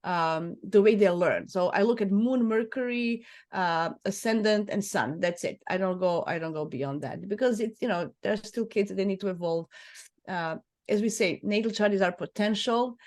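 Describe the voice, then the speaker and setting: A woman's voice: mid-range voice, normal speed, darkish tone. Middle aged croatian lady, native croatian accent, casual astrologer chat, medium voice, keeps restarting and correcting herself. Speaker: astrologer being interviewed.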